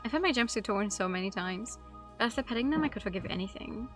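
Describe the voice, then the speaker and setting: A woman's voice: on the high side, expressive, fast, fairly bright tone. A woman streaming a game, reading its text aloud and adding casual, playful, musing commentary. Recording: light music behind.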